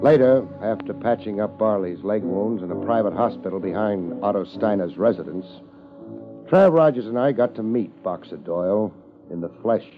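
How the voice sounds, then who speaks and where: An actor playing a tough, unflappable American private investigator with a terse, hard-boiled manner in a 1950s radio drama, on a muffled, noisy old recording. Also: male voice, lowish voice, moderate pace, music in the background, lightly acted. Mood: grave narration. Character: tough, unflappable male private investigator narrating his case.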